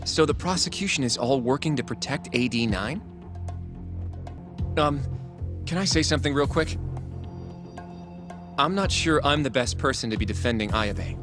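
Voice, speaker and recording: Actor as an earnest young lawyer over music. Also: male voice, mid-range voice, animated, moderate pace, long pauses, natural voice. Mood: nervous. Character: earnest, nervous, polite young male lawyer. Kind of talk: English video game cutscene dub.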